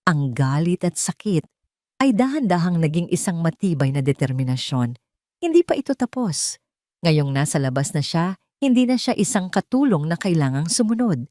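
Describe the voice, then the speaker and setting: A woman's voice: on the low side, big pitch swings, normal speed, dark tone, no echo, studio quality. A woman reading a scripted dramatic story in an emotive storytelling manner. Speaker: story narrator.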